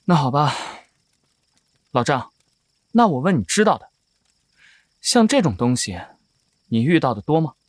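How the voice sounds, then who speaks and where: A man's voice: on the high side, very animated, measured pace, pauses often, no room sound. An actor playing a young male cultivator in an audio drama, an earnest and wary traveller who talks in a probing, questioning way.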